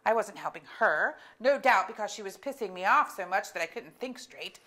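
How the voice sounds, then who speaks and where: A woman's voice: mid-range voice, lively intonation, normal speed, pushed and bright. A woman playing a blunt, irritable middle-aged wife and weary therapist in a staged play reading, with an emphatic, sardonic delivery. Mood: sardonic, exasperated.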